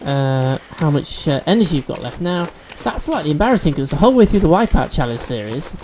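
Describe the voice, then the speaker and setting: A man's voice: on the high side, very animated, brisk, darkish tone, slight background noise. Sniffly man narrating his gameplay. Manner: chatty, explains game mechanics as he plays. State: sniffly from allergies. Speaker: gaming video host. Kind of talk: let's-play commentary.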